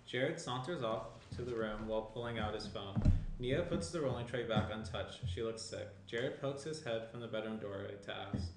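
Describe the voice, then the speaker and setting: A man's voice: on the low side, normal speed. A young man reading screenplay stage directions as scripted narration. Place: small indoor room around a table. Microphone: shared tabletop microphone, some distance away. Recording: roomy echo, faint room noise.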